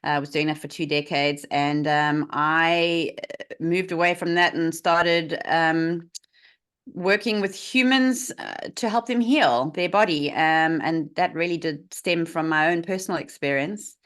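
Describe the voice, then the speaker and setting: A woman's voice: low-pitched, steady tone, unhurried, a bit bright, smooth. A woman in her forties with a South African accent, a guest telling her story candidly in an interview, with many fillers. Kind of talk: interview over video call.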